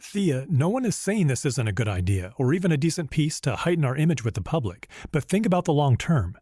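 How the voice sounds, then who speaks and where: A man's voice: a little high, sing-song, brisk, warm tone, husky, dry recording, clean studio sound. A man playing a fussy, stern company executive in an audio drama, argumentative and condescending. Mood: reasoning, cautioning.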